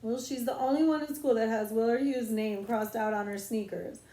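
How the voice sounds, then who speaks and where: A woman's voice: fairly high pitch, steady tone, normal speed, dark tone, natural voice. A woman voicing an imaginative, bold young girl who plays at being a lofty queen in a children's storybook. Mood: confiding. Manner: lofty mock-archaic speech. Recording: roomy echo.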